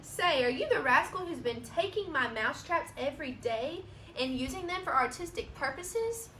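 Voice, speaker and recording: A woman's voice: high voice, lively intonation, normal speed, a bit bright, pitched above the performer's own voice. A woman voicing an emphatic male museum guard from a children's storybook, with old-fashioned turns of speech. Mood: stern. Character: stern, gruff-talking male museum guard who softens to pride. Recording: roomy echo, background noise.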